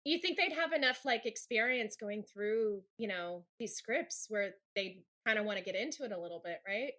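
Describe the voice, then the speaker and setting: A woman's voice: mid-range voice, lively intonation, moderate pace, sharp tone. A woman guest on a podcast, telling rambling stories in casual chat, with lots of fillers and laughs.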